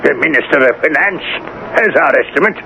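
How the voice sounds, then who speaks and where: A man's voice: animated, creaky, sharp tone, heavily acted. A man playing a blustering army general in a 1970s radio drama, commanding yet sheepish, with officious delivery. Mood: officious, defensive. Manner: booming, drawn-out orders, officious excuses. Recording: muffled, noisy 1970s radio broadcast recording.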